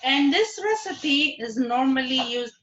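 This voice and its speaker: Woman, cooking teacher, video call, bright clear voice, echoey muffled kitchen. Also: high-pitched, lively intonation, unhurried, a little hiss or hum. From Ethiopia, Eritrea. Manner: friendly, patient, checks in with students. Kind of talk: live online cooking lesson.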